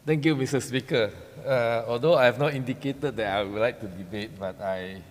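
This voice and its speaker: A man with a Malaysian accent speaking as an assembly member in a parliamentary debate, in a halting, repetitive, argumentative manner. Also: medium pitch. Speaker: opposition state assembly member. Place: large legislative chamber. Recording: echoey hall with long reverb.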